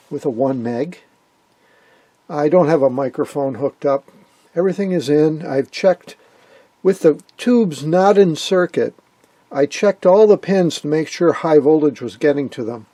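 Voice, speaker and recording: A man with a husky voice giving a casual, hands-on technical commentary, thinking aloud as he works. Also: on the high side, measured pace, warm tone, no room sound. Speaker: host of an electronics repair vlog.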